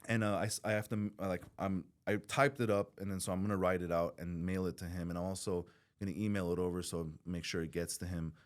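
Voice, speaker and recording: Very deep-voiced man talking bluntly. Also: steady tone, fast. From Southern California. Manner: blunt, swears freely, rambling with restarts. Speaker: podcast guest in recovery. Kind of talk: candid podcast conversation.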